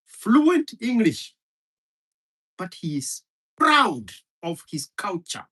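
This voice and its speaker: Husky, heated man ranting. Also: high voice, sing-song, drawn-out words, bright, forceful tone. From Kenya. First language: Kikuyu. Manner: heated, profane, hammering repetition. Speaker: commentator. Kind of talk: impassioned monologue.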